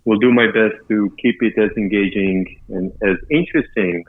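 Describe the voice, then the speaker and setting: A man's voice: on the low side, steady tone, normal speed, no room sound. A man in his fifties from Israel with an Israeli accent, talking upbeat and loosely as a guest, often dropping the subject of his sentences. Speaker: guest entrepreneur. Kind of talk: casual interview talk. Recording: tinny phone-line audio, dry.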